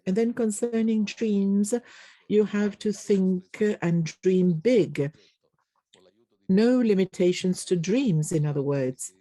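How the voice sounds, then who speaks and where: An interpreter renders a live panel into English, speaking slowly and halting over frequent fillers. The voice is mellow and dark. The sound is close and dry, with no room echo. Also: medium pitch. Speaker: conference interpreter. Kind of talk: simultaneous interpretation of a panel discussion.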